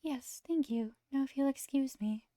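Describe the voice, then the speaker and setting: A woman voicing a shy, polite young angel girl as a soft-spoken houseguest in a visual novel. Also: high-pitched, moderate pace, dry recording, voice pushed up, lightly acted. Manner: polite, demure, gentle. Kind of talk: visual novel dialogue read aloud.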